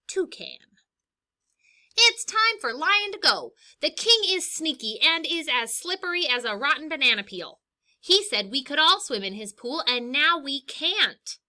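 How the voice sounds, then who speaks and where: A woman voicing an eager male monkey candidate rallying the animals loudly in a storybook. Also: sits high, voice pushed up, heavily acted. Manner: loud, eager campaign shouting. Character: excited rabble-rousing male monkey candidate.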